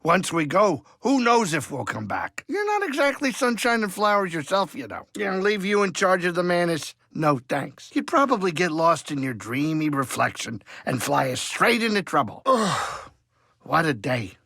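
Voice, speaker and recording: Video game alien cook-pilot, older, grumpy and rambling; highish voice with very animated pitch, colloquial and fretful. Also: male voice, moderate pace, vocal fry, slightly rough, no room sound, clean studio sound, heavily acted. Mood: proud, teasing. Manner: colloquial, fussy, blustering, rambling. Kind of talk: video game character dialogue.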